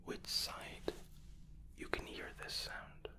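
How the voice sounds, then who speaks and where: A man doing an ASMR medical roleplay in a soft, breathy whisper, slow, methodical and reassuring as he plays an examiner.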